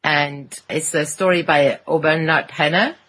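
A woman talks casually as a podcast panelist, sounding enthusiastic about what she describes. Her voice sits quite low and has a slightly husky edge. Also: fairly even intonation, unhurried, fairly bright tone. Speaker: podcast panelist and podfic narrator. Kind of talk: casual chat about fan fiction.

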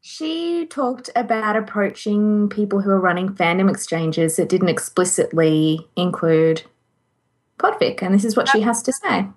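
A woman's voice: medium pitch, lively intonation, a little slow. A woman co-hosting a podcast in casual, chatty conversation. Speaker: podcast co-host.

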